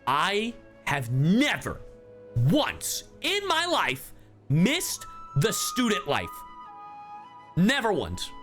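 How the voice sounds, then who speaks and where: A man's voice: sits high, huge pitch swings, very slow, long pauses, fairly bright tone. A young man giving casual live reactions as a game streamer, slangy and joking, with rambling asides. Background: game music.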